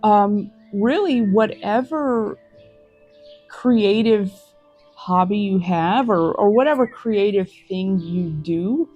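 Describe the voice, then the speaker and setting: A woman hosting a tarot reading, talking to viewers in a gentle, reflective, encouraging way. She is recorded outdoors in a forest. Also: mid-range voice, slow pace, highs cut off, soft background music. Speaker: tarot reader.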